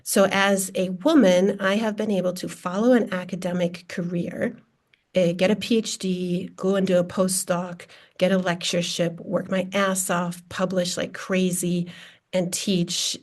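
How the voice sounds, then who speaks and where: A woman's voice: fairly low pitch, flat delivery, darkish tone. Candid American woman on a video call. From United States (Minnesota). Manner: candid, self-deprecating, fast bursts. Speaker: panelist. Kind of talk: conference panel answer on a video call.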